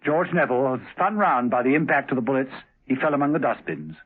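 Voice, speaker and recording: A man's voice: medium pitch, expressive, fast, slightly rough. A man reading dramatic scripted narration as the narrator of a 1970s radio drama. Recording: tinny, narrow-band vintage radio recording.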